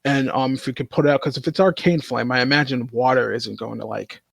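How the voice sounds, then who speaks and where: A man's voice: medium pitch, steady tone, a little fast, vocal fry, breathy edge, dry recording. A man chatting casually as a tabletop game player, hesitantly repeating and rewording himself as he goes.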